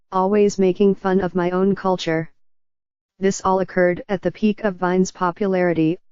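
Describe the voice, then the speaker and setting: A text-to-speech voice of a woman reading forum posts aloud in an even, unvaried machine delivery. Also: on the low side, clean tone, no room sound, muffled recording. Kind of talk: synthetic narration of posts.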